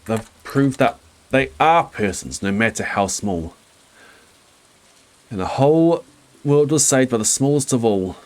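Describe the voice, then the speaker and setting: A man voicing a kindly, proud elephant in a storybook read aloud, lifting his voice and slowing down. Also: mid-range voice, animated, lightly acted.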